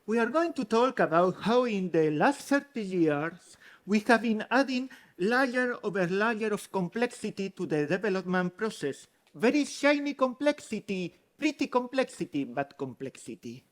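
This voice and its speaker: A man's voice: sits high, very animated, normal speed. Man with a Spanish-French accent. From Spain. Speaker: conference speaker. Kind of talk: conference talk. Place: conference hall.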